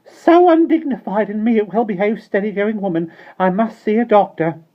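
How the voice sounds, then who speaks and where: A man voicing a fussy, prim spinster landlady who is easily scandalized, talking in a shrill, indignant and fretful way. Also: lively intonation, moderate pace. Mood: moaning. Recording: dull lo-fi recording.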